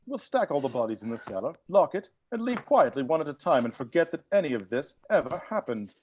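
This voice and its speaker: A man playing a brisk, theatrical butler who unravels murders with animated, accusing declamation in a read-through of a film script. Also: fairly high pitch, lo-fi sound, slight background noise. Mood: coolly scheming.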